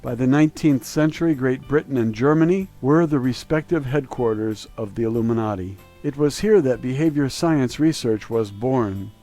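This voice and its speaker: Male voice-over narrator, mellow tone, measured delivery, soft music under him. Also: mid-range voice. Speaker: documentary narrator. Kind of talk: scripted narration.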